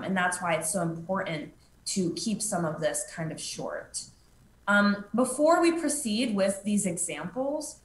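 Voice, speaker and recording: A woman's voice: medium pitch, a bit bright. Young woman presenting, echoey room. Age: young adult. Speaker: advocacy training presenter. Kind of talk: prepared instructional presentation. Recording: roomy, echoey webcam audio with noise.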